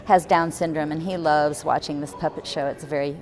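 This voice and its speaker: A middle-aged woman speaking haltingly in an unscripted interview. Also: low-pitched, fairly even intonation, a little mellow, low-quality recording. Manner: halting, hesitant. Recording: busy crowd chatter behind.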